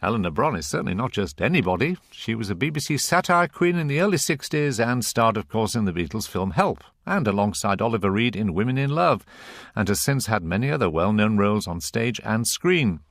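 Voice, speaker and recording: A man's voice: on the low side, very animated, fairly quick, no room sound, clean studio sound. An older British man presenting and interviewing in a radio magazine style. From north of England.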